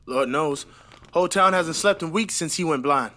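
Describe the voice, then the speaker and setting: A man's voice: a little high, moderate pace, pushed and bright, slight background noise. A young man playing an earnest, ambitious newlywed husband in an audio play set in the 1920s, with a hesitant, dreamy manner. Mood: earnest, tender. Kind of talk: stage play audio reading.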